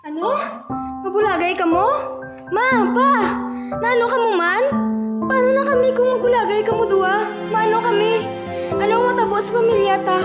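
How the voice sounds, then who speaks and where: A woman's voice: very high voice, moderate pace, pauses often, reverberant. A young woman with a Filipino accent playing a teenage eldest daughter in an amateur radio drama. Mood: distressed, pleading. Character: teenage eldest daughter, teasing, caring, easily distressed. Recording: loud music over the voice.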